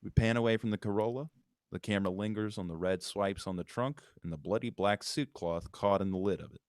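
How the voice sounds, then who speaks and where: A man's voice: fairly low pitch, fairly quick, darkish tone, no echo, clean studio sound. A man, the writer, reading out screenplay stage directions as narrator at a live table read.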